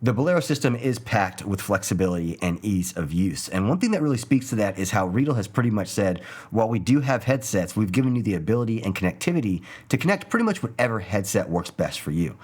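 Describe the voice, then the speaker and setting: A man's voice: on the low side, sing-song, fast talker, few pauses, a little mellow, husky. A man presenting scripted promotional narration for a product video.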